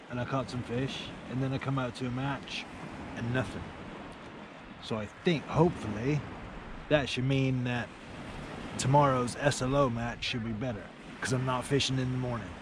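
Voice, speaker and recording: A man in his forties chatting casually to camera as an angling vlogger, with a laid-back manner. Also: medium pitch. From United Kingdom. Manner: laid-back, often tags remarks with "man". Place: outdoors on a beach at night, in a small tent. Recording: noisy outdoor camera audio.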